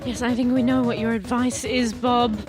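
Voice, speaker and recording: A woman's voice: fairly high pitch, flat delivery, moderate pace. Woman playing tabletop, music behind. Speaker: tabletop game player. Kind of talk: casual roleplay and describing actions.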